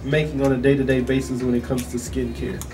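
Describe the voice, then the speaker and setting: A man co-hosting a casual radio show, reacting with enthusiastic hype and quick questions. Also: mid-range voice, flat delivery. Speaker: radio co-host. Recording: distant mic with background noise.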